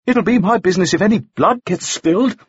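A man's voice: high voice, very animated, brisk, no room sound, dull audio, voice pushed up. A man voicing an agitated gold-rush man protesting urgently and insistently in an audiobook. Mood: urgent, defiant. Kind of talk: audiobook character voice.

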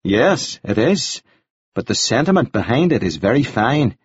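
A man voicing a genteel, talkative gentleman who speaks formally and politely, in a dull, dry recording. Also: medium pitch, very animated, measured pace, husky, no echo. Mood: earnest. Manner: formal, flowery, solemnly polite.

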